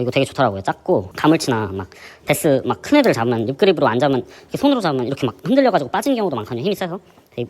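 A man with a hoarse voice from a sore throat, hosting a fishing channel and chatting through his gear in a casual, explanatory way. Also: medium pitch, darkish tone, slight background noise. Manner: chatty, explanatory, many fillers. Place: indoor gym.

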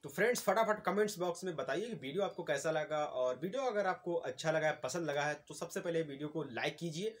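A man's voice: a little high, lively intonation, fast talker, hardly any pauses. A man, presenting like a tech channel host in a chatty, instructional way. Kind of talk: presenter-style app tutorial to viewers. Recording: clearly noisy amateur recording.

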